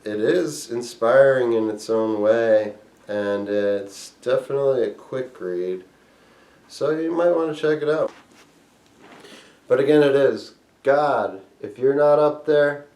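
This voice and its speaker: A man reading a memoir aloud in a measured, deliberate way, phrase by phrase. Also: mid-range voice, a little mellow, recorded from a distance. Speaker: book reader and reviewer. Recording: echoey room.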